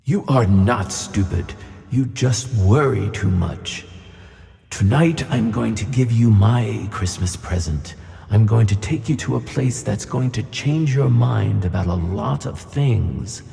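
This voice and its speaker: A man's voice: lowish voice, animated, slow, creaky, mellow, faint music behind, exaggerated voice. A man voicing a reassuring, mysterious flying tuna fish in a children's audio play, earnest and soothing. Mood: encouraging. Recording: long reverb on the voice.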